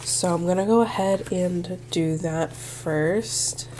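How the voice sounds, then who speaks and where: A woman's voice: on the low side, slow, dark tone, slight background noise, rough recording. A young woman hosting a budgeting vlog, sounding a little nasal from congestion, talking through her numbers in a friendly, think-aloud way. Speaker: budgeting channel host. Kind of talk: casual budgeting walkthrough to camera.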